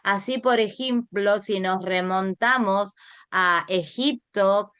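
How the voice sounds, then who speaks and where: A woman's voice: medium pitch, slow, a bit bright, smooth, dry recording. A middle-aged Argentine woman presenting an online art class as its teacher, guiding listeners directly and asking them rhetorical questions. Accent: Argentine Spanish.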